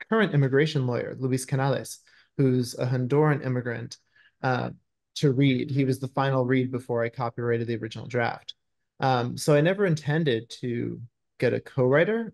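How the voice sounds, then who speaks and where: A man's voice: medium pitch, vocal fry, a little mellow. A man in his thirties, a screenwriter answering interview questions conversationally over a video call from a room at home.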